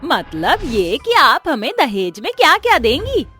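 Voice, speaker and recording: A woman's voice: sits high, huge pitch swings, unhurried, sharp tone, soft background music, exaggerated voice. A woman voicing a cruel, greedy, middle-aged mother-in-law in a melodramatic moral-story cartoon, with a taunting manner. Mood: gushing, grasping.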